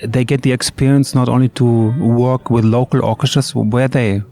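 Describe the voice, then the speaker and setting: A man with a German accent speaking English as a second language, answering interview questions in a hesitant way with many pauses and filler sounds. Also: medium pitch, level tone, dark tone. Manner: hesitant, many ums and uhs, slightly imperfect English. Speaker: orchestra bassist, guest. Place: outdoor courtyard.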